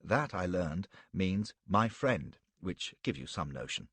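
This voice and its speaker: A man voicing an excitable, hearty British officer who talks in an exuberant, boisterous way. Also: low-pitched, takes it slowly, vocal fry, breathy edge, no echo, heavily acted.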